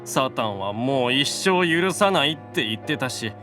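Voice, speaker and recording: A man playing a gentle, gluttonous young male demon, plaintive and earnest, in an anime audio drama. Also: medium pitch, lively intonation, unhurried, soft background music. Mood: forlorn, subdued.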